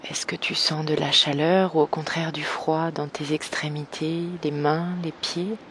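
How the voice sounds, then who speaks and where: A woman guiding an evening meditation slowly and gently, in a soft, breathy voice that nears a whisper, with long pauses. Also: sits low, level tone, slight background noise. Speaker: meditation guide. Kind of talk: guided evening meditation, yoga nidra.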